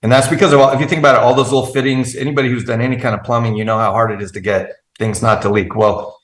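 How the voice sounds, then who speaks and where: A man's voice: mid-range voice, steady tone, very fast, fairly bright tone, roomy sound. A man as an interview guest, explaining at length in an earnest, methodical way with frequent restarts. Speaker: guest expert.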